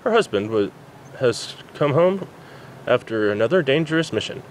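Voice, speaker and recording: A man reads a story aloud in a medium-pitched, very animated voice. He is an amateur who stumbles on the odd word, recorded with faint background noise. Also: normal speed, rough recording. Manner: stumbles over words while reading. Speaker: amateur fan fiction reader. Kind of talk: story narration, reading aloud.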